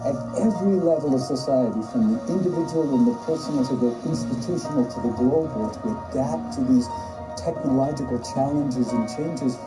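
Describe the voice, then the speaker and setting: A speaker delivering a formal, steady speech. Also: medium pitch, a little fast, far from the mic, music in the background, low-quality recording.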